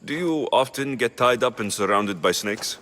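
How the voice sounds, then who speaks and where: An actor playing a young, steady, dry-witted mercenary hero in a video game. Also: male voice, mid-range voice, normal speed, slight background noise, close to an everyday voice. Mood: wry. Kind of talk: video game dialogue acting. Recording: music under the voice.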